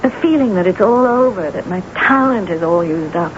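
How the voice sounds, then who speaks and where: An actress plays a self-important, middle-aged woman writer in an old radio play. Her moody, theatrical delivery swings widely in pitch. The sound is dull and clearly noisy, like an aged broadcast. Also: lively intonation, moderate pace, lightly acted. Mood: weary, gloomy. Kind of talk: radio drama acting with first-person narration.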